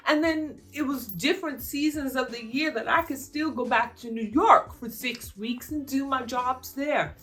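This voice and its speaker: A woman in her fifties from the United States, chatting casually through a Q&A with her viewers, rambling a little and filling pauses with "um" and "uh". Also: on the high side, a bit bright. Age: 50s. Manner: chatty and meandering, with frequent fillers. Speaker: vlogger answering viewer questions. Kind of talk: casual Q&A monologue.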